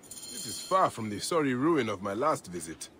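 An actor playing Bayek, a stern Egyptian warrior man who speaks in a grave, measured way and turns warm with children. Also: medium pitch, very animated, a little hiss or hum. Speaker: game protagonist.

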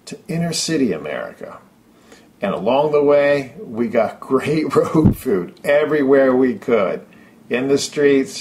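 A man's voice: medium pitch, a little mellow. Upbeat middle-aged man narrating travels. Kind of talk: first-person travelogue narration over photos.

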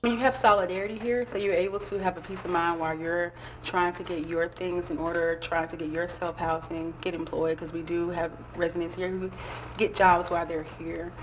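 A woman's voice: fairly low pitch, flat delivery, fairly quick, slight background noise. A woman in her thirties who works with veterans, explaining earnestly in an indoor interview. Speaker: veterans program staff. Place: indoor office.

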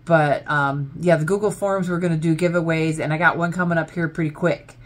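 An older woman hosting a casual home vlog, chatty and quick to laugh. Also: low-pitched, fairly even intonation. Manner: chatty, laughs often, self-deprecating. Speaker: vlog host. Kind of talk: casual chat. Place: wood-paneled living room indoors.

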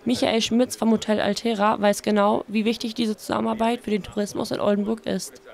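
A woman's voice: medium pitch, flat delivery, brisk, vocal fry. A woman reading scripted narration for a news report as its reporter.

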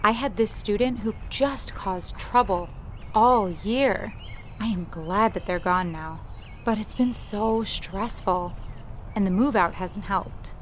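A woman's voice: mid-range voice, slow, a little hiss or hum, lightly acted. A woman playing a friendly, eager young teacher in an amateur audio drama. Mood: chatty.

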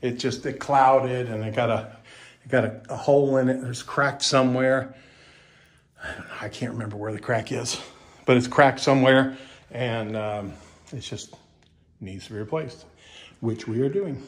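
A man's voice: medium pitch, normal speed, long pauses. A middle-aged man, a real estate agent chatting casually and salesy while he walks through an empty house with a phone camera. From Kentucky. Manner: chatty, folksy, salesy asides with restarts. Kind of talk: casual walkthrough commentary. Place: empty house, indoors with some steps outside.

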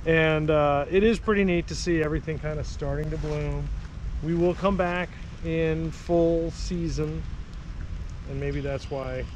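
A man's voice: on the high side, fairly even intonation, a little hiss or hum. A young man co-hosting a hiking vlog, chatting casually and upbeat while standing outdoors in bare woods. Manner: chatty and upbeat, quick asides. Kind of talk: casual chat. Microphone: 360 camera on a handheld stick.

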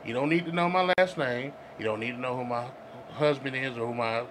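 A man's voice: medium pitch, fairly quick, a bit bright, rough recording. A man co-hosting a podcast, talking casually and insistently, often repeating himself, with slang and swearing. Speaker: podcast co-host. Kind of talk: casual group debate with slang and profanity. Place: small makeshift home studio, indoors. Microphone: podcast mics on boom arms. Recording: noticeable background noise.

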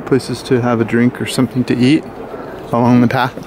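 A man's voice: medium pitch, normal speed, background noise. A middle-aged man giving a casual, rambling tour of an island as a travel vlogger. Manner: relaxed, rambling tour-guide talk. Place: outdoors on a green hillside.

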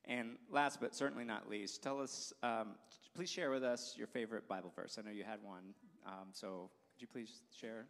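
A man's voice: lowish voice, fairly even intonation, moderate pace, hardly any pauses, a bit bright. A young man hosting a student interview on a church stage with a handheld mic, upbeat and prone to restarting his sentences. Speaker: school staff host. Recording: long reverb of a large hall.

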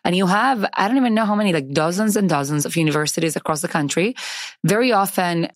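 A woman with a slight accent of unclear origin co-hosting a podcast, talking casually and quickly with lots of fillers. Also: fairly low pitch, dry recording, clean studio sound. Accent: ambiguous foreign accent. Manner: lively, quick, many "like" fillers. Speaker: podcast co-host. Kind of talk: casual chat.

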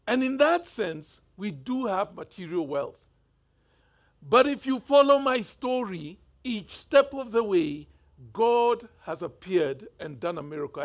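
A man in his fifties with a Kenyan accent, preaching a sermon in a conversational storytelling way on a church stage. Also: high-pitched. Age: 50s. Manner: conversational storytelling, gestures freely. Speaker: bishop preaching.

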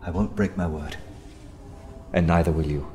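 An actor playing a bold undercover detective in a radio drama, sardonic and animated. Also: male voice, low-pitched, moderate pace, slight background noise, lightly acted. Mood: earnest, secretive. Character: wry, bold undercover detective posing as a poet. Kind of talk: full-cast radio drama acting.

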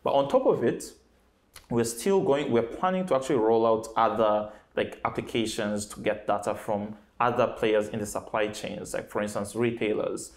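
A man's voice: fairly low pitch, moderate pace. A young man, a startup founder, answering questions in an office, with frequent restarts and fillers, on a lapel mic. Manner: hesitant, many restarts and fillers. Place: bright office with plants.

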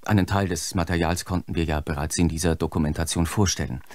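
A man plays a sober documentary presenter in a radio drama. He has a low, closely recorded voice and speaks formally, with measured, probing courtesy. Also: fairly even intonation, dry recording, lightly acted. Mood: politely probing. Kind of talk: scripted broadcast interview in radio play.

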